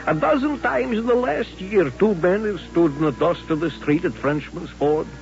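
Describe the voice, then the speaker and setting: An actor playing a folksy western storyteller, narrating a radio drama in a measured, vivid, dramatic way. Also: male voice, high-pitched, lively intonation, creaky, faint music behind. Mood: suspenseful. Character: folksy western storyteller recalling frontier showdowns. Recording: muffled old radio recording.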